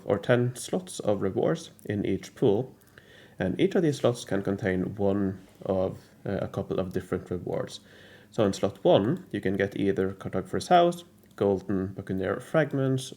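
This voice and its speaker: A man explaining a game strategy in a casual, methodical walkthrough, his voice a bit rough from a cold, pausing often with uh. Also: sits low, a little mellow. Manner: methodical, hesitant with frequent uh fillers. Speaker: strategy game guide creator.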